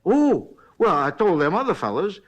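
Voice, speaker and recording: An actor playing a folksy, talkative hotel night clerk in a 1950s radio drama, rambling along in plain, ungrammatical speech. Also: male voice, high voice, big pitch swings, moderate pace, dull audio. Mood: chatty. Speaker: robbery witness.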